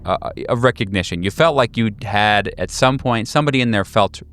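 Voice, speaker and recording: A man's voice: on the low side, a little fast, a bit bright, soft background music. A man narrating a tabletop horror game as game master, in conversational improvised speech.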